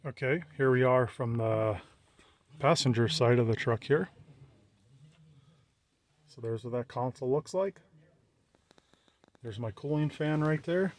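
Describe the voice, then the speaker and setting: A man's voice: mid-range voice, a little slow, pauses often. Man giving a plainspoken hands-on walkthrough. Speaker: vlogger showing a vehicle radio installation. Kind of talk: casual hands-on walkthrough narration. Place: outdoors around and inside a parked truck.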